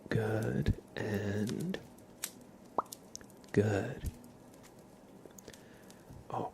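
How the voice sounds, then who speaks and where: A man streaming live ASMR, speaking softly and often in a whisper, with a playful, deadpan roleplay manner. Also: on the low side, drawn-out words, gravelly, background noise.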